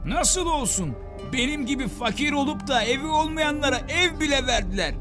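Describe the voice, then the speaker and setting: Humble cartoon townsman, very high voice, effusive, devout and grateful. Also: lively intonation, normal speed, music in the background. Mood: excited, grateful. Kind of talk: cartoon voice acting.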